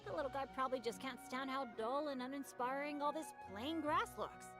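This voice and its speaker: A woman's voice: sits high, a little fast, over music, close to an everyday voice. A woman voicing a cheerful, kind young adventurer boy in an anime game. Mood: earnest.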